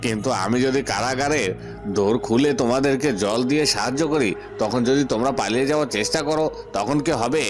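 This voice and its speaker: Man as a gruff cartoon jail guard, talks quick and bossy, music playing under him. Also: medium pitch, lightly acted. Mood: wary, puzzled. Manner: scolding, questioning. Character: gruff, scolding male prison guard. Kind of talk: cartoon dialogue. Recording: music behind the voice.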